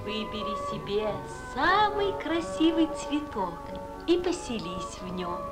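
An actress playing a kind, friendly swallow in a classic cartoon, with a lilting theatrical delivery. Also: female voice, on the high side, very animated, unhurried. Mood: kindly. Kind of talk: classic Soviet cartoon voice acting. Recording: old cartoon soundtrack, loud music.

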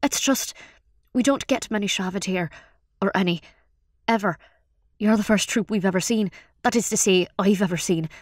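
A woman's voice: mid-range voice, normal speed, long pauses, dry recording, lightly acted. Woman as an eager young boy, shy, earnest, rambling, awestruck, storybook character, dry studio sound. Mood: eager, breathless.

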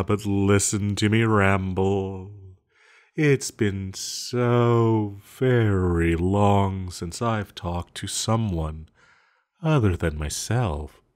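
Man voicing a slow, low-pitched ancient banished villain. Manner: slow, halting, musing with sighs and hums. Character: ancient banished villain sorcerer, calm, musing, quietly menacing. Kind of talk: intimate scripted audio roleplay monologue.

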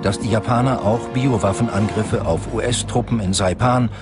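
A man's voice: on the low side, normal speed, over loud music. A man from reads a scripted documentary narration in a sober, factual way.

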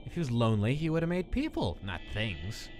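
A man's voice: medium pitch, wildly swooping pitch, fairly quick, a little mellow. A man playing a wary skeleton boy over music. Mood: bitter, resigned. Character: wary, skeptical young skeleton boy, dry and guarded. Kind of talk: animated comic dub acting.

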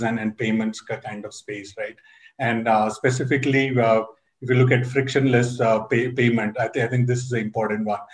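A man speaking eagerly on a video-call panel, and he keeps restarting his phrases as he thinks. His voice is mid-pitched for a man. The room around him sounds roomy and echoey. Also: darkish tone. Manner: enthusiastic, many repeated restarts.

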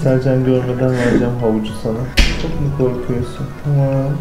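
A man's voice: medium pitch, little pitch movement, a little fast. A man in his thirties hosting a vlog with casual, joking, teasing talk. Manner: playful, teasing. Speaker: vlog host at a vet clinic. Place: small indoor room and veterinary exam room. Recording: echoey small room, faint music.

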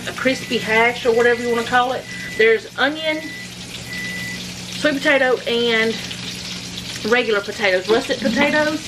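Lady rambling while she cooks, kinda high voice, pan sizzling loud behind her. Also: noisy background. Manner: rambling, self-deprecating, chatty asides. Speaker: home cooking vlogger. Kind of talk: casual cook-along chat. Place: home kitchen with food frying. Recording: home kitchen with loud sizzling.

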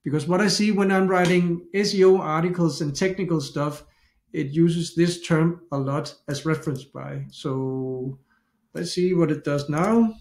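A middle-aged man speaks English with a Danish accent in a slightly high voice, chatting casually as he walks through a screen-share tutorial. He thinks aloud and often restarts his sentences. The sound is roomy and echoey, from a home office. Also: a little slow, a little mellow. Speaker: SEO tutorial host. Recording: echoey room.

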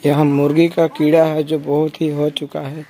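A casual vlogger talks at a middling pitch. The explanations are plain and often repeat themselves. The phone recording from an open shed carries light noise. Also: little pitch movement, normal speed. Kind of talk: casual vlog narration, talking to viewers and a child. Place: large open-sided empty poultry shed. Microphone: handheld phone camera, operator behind it.

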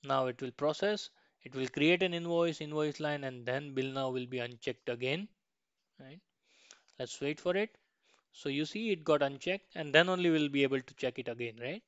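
A man's voice: on the high side, moderate pace, clean tone, no echo. Man, dull lo-fi recording. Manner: frequently ends points with a questioning "right". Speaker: software tutorial instructor. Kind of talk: screen-share tutorial walkthrough, informal step-by-step.

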